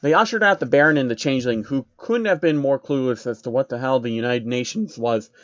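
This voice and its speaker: A man narrating a comedic fan story in a deadpan way, with wry asides. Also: mid-range voice, brisk, no echo, highs cut off.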